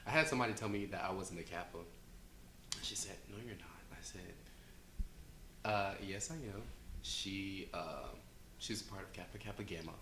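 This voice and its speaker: A man's voice: sits low, moderate pace, long pauses, bright, forceful tone, a little hiss or hum. A young man from Chicago, a student speaking frankly and matter-of-factly in an interview. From Chicago, USA. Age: young adult. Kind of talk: interview answers. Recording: echoey room.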